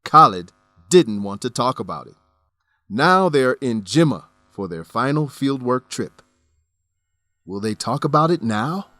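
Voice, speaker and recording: Guy announcer introducing a radio drama, very lively intonation, deliberate, dry studio recording. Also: mid-range voice, no echo. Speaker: host and narrator.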